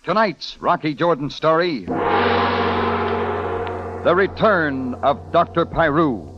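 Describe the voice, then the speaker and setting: A man's voice: a little high, lively intonation, slow pace. An American man announcing a sponsored 1950s radio adventure show in formal, scripted style. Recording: dull vintage radio recording.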